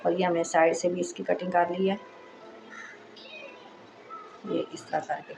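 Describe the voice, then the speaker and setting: A woman hosting a sewing tutorial, speaking in a casual, reassuring way while she explains each step, with a hoarse voice from a sore throat. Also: fairly low pitch, level tone, a little slow, music in the background. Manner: chatty and reassuring, frequent "accha ji".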